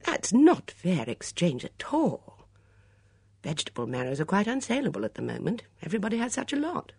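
A woman's voice: medium pitch, wildly swooping pitch, brisk, mellow, husky, dry recording, studio quality, pitched above the performer's own voice, exaggerated voice. A woman voicing a cool, reserved young woman in a story, clipped and dismissive.

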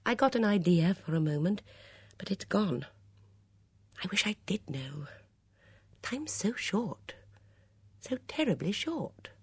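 A woman voicing a shrewd, gentle elderly English spinster in an audiobook, with a mild, absent-minded murmur. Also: mid-range voice, big pitch swings, slow, pauses often, slightly rough, no room sound, voice pushed up, heavily acted. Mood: troubled, murmuring.

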